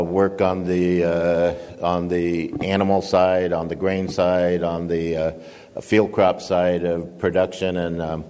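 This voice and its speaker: An older man giving a conference speech, booming and halting, with many fillers. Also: darkish tone, smooth, long reverb tail. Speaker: guest speaker, nonprofit founder and director.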